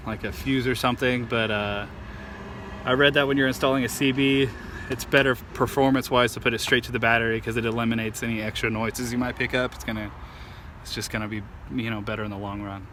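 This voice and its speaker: A man's voice: medium pitch, steady tone, fast talker, fairly bright tone. A man giving a casual, modest how-to explanation in an informal, hesitant way, like a DIY video host. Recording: clear vehicle and outdoor ambient noise.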